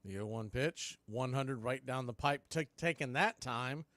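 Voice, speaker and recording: A man's voice: mid-range voice, unhurried, fairly bright tone, no echo. An American man calling live baseball play-by-play in a folksy, partisan home-team style. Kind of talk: live radio baseball commentary.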